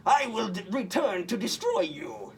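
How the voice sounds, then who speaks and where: A man's voice: sits high, sing-song, a little slow, far from the mic, a little hiss or hum, pitched above the performer's own voice, exaggerated voice. A man voicing a snarling, vengeful storybook supervillain who makes theatrical threats. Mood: furious.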